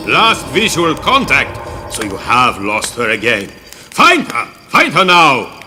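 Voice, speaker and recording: An actor playing an imperious, menacing villain boss with a volatile temper and formal, withering speech in a video game. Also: male voice, mid-range voice, huge pitch swings, sharp tone, a little hiss or hum, exaggerated voice. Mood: furious. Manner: slow, formal, withering questions. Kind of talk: video game cutscene voice acting.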